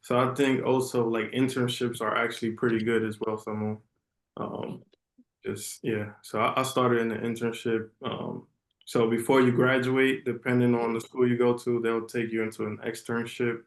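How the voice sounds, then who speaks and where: A man's voice: medium pitch, flat delivery, moderate pace. A man on a video call giving rambling advice, often restarting his sentences. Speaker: networking call participant. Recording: roomy video call audio.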